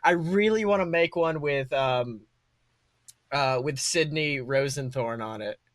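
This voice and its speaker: A podcast co-host chatting casually in an animated, sweary way. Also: mid-range voice, a little slow, no room sound.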